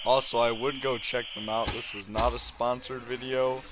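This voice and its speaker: A teenage boy hosting a gaming channel, rambling and joking over his gameplay with loud sudden reactions and self-aware asides. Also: medium pitch, little pitch movement, normal speed, over music, poor audio. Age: teens. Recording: clear background noise.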